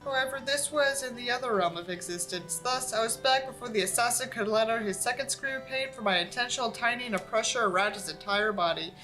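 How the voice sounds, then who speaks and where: A woman's voice: fairly high pitch, fast talker, few pauses, sharp tone, soft background music, rough recording. A woman who sounds tired and under the weather, reading aloud and commenting in a casual, sarcastic, weary way. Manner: sardonic, weary, swearing. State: tired, feeling unwell. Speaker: host reading and mocking a book.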